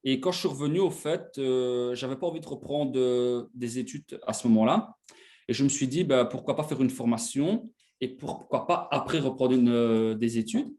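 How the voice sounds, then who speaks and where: Male, 20s, mid-range voice. Relaxed conversational guest talking about himself. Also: medium pitch, normal speed. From Belgium. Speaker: interview guest. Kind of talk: casual chat, telling his own story.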